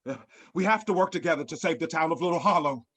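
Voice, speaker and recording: An actor playing a grandiose, dramatic scientist in a sci-fi screenplay reading. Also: male voice, a little high, quick pace, fairly bright tone, close to an everyday voice. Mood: urgent, resolute. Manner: grandiose, dramatic, jargon-heavy. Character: Professor Bold, grandiose scheming sci-fi scientist.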